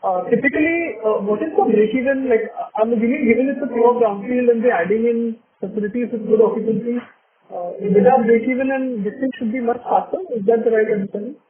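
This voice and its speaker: A man's voice: very high voice, quick pace. A man with an Indian accent politely asking questions as an analyst on an earnings call. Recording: thin phone line.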